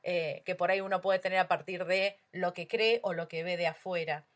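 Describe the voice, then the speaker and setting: A woman's voice: on the low side, fairly even intonation, moderate pace. An Argentine woman hosting a podcast interview, asking her guest questions in an easy conversational way. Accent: Argentine Spanish. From Argentina, Comodoro Rivadavia. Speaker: podcast host.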